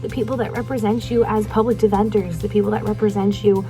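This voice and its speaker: A woman's voice: mid-range voice, fairly even intonation, fast talker, dark tone. An eighteen-year-old woman from Virginia, a student giving earnest, articulate interview answers. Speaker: college student voter. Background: faint background music.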